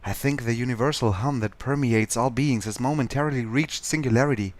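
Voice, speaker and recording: A man's voice: medium pitch, fairly quick, natural voice. A man voicing an angsty, average teenage schoolboy, narrating a visual novel parody with mock-dramatic earnestness. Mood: earnest.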